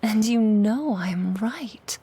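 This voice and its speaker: Woman playing a slow, whispering seductive demoness. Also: medium pitch, expressive, dark tone, heavily acted. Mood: soothing, insidious. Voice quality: breathy, whispery. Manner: slow, soothing, insidiously persuasive. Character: seductive, coaxing female demon preying on loneliness. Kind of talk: ASMR roleplay, intimate monologue.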